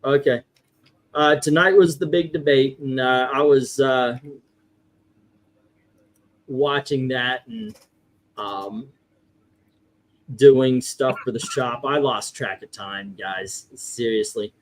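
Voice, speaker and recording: A man hosting a casual livestream, talking haltingly and often interrupting himself. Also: medium pitch. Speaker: livestream host.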